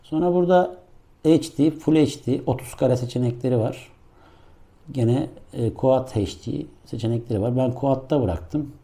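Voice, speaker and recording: A man with a very dark, muffled, mellow voice at a medium pitch talks through a gadget review. He explains each step informally, making it up as he goes. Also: moderate pace. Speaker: product reviewer. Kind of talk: casual hands-on gadget review. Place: indoor room.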